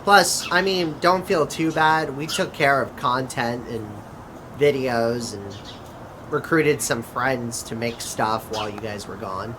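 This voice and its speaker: A man playing a casual, curious grown man in an amateur skit, talking conversationally and pausing mid-sentence. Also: mid-range voice, natural voice. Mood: casual, reassuring. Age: adult. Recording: clearly noisy, constant background hum.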